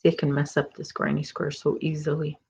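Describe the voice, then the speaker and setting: A woman's voice: deep voice, completely flat delivery, fairly quick, gravelly, darkish tone. A woman with a Chicago accent hosting a casual crochet livestream from home, chatting and teasing while she works. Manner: chatty, reads viewer comments, teasing.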